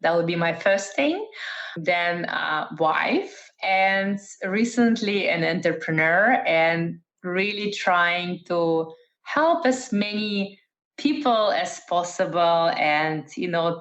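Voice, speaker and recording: A woman's voice: on the low side, fairly bright tone. Woman with a dull lo-fi sound. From Russia, raised in the Netherlands. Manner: thoughtful, many fillers and restarts. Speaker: interview guest, entrepreneur. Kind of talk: casual interview answers.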